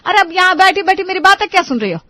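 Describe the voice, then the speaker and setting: A woman's voice: extremely high pitch, lively intonation, very fast, sharp tone, no room sound, voice pushed up, exaggerated voice. A woman voicing a fussy, middle-aged mother-in-law in a cartoon family story. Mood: scolding. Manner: fussy, scolding. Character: nagging middle-aged mother-in-law, meek before her elders. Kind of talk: acted dialogue in a cartoon story.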